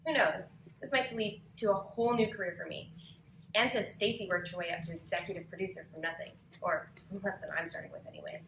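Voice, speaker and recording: A woman's voice: lowish voice, quick pace. A woman playing an upbeat, earnest aspiring actress working as an assistant in a live table read. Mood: cheerful. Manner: bright-spirited, earnest. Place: cramped bookstore event, live. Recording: echoey live bookshop, faint noise.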